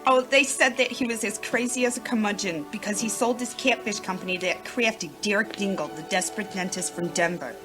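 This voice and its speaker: A woman acts a melodramatic noir client in a radio detective spoof. Her mid-pitched voice has a theatrical femme fatale air. Music plays clearly underneath. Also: brisk, few pauses, natural voice. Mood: dramatic. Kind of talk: old-time radio detective parody acting.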